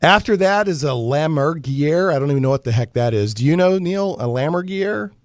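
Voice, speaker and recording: A man's voice: a little high, animated, brisk, dry recording. A man hosting a talk show, chatting casually in a rambling, joking, mock-serious way. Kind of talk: casual chatty radio banter.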